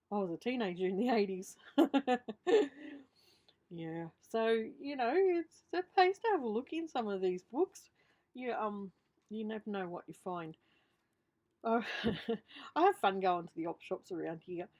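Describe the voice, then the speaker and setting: A woman's voice: lowish voice, darkish tone. A woman in her fifties with an Australian accent and a slight lisp, giving a casual, rambling show-and-tell voiceover as a craft vlogger, often trailing off and correcting herself. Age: 50s. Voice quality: slight lisp from a missing tooth. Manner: chatty, unscripted, often trailing off and self-correcting.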